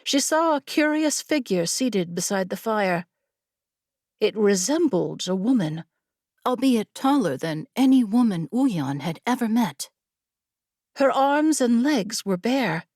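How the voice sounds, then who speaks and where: A woman narrating a scripted fantasy story as an audiobook reader, measured and deliberate. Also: medium pitch, expressive, a little mellow, dry recording, studio quality.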